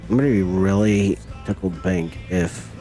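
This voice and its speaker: Slow-talking folksy man streaming a game. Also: sits low, faint music behind. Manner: folksy, teasing, chatty with viewers. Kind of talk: casual live-stream chat while gaming.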